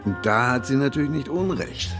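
An actor in a German radio play, playing an anxious, jealous man of 59 who speaks haltingly and with wry self-irony. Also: mid-range voice, lively intonation, over music, natural voice. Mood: wry, brooding. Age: 50s. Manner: hesitant, stammering, self-ironic.

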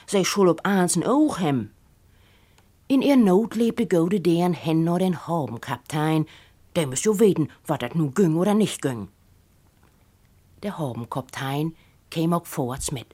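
A woman with a northern German, Low German accent reading a humorous story aloud in an expressive, acted-out manner. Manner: animated, acts out characters' speech.

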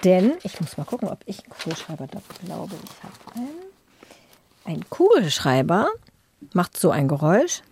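A woman's voice: lowish voice, sing-song, normal speed, a little mellow, a little hiss or hum. A woman co-hosting a podcast, telling things in a conversational storytelling style. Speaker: podcast co-host.

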